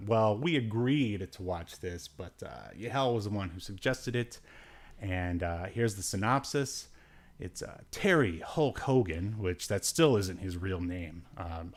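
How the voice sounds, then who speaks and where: Guy podcast host w a lowish voice, casual rambly reviews, kinda hesitant, dry little jokes. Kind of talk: casual movie-review chat.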